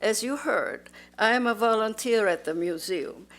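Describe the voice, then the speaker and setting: A woman in her nineties, born in Austria, giving a slow, measured, formal speech as a museum volunteer in a museum auditorium. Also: fairly high pitch, a bit bright. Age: 90s. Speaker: museum volunteer and Holocaust survivor. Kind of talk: prepared public speech.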